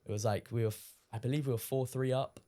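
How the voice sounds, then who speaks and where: A teenage man from the UK, a guest on a podcast, talking casually with lots of ums, his voice a little croaky from shouting. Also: medium pitch, fast talker. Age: teens. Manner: relaxed, many ums, recounting matches. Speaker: guest player.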